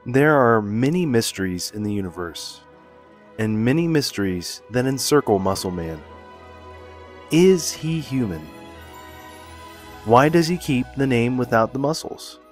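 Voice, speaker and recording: A man with a cold that has deepened his voice, narrating a deadpan, mock-serious theory video. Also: a little slow, pauses often, faint music behind.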